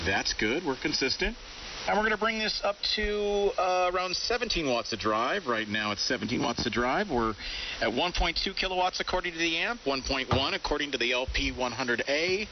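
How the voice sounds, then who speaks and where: A man's voice: on the high side, lively intonation, moderate pace. Man on hissy, distant ham radio. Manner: informal, thinks aloud while reading out figures. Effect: two-way ham radio transmission. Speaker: amateur radio operator. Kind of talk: casual radio chat, talking through equipment readings. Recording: hissy, dull, distant shortwave radio audio.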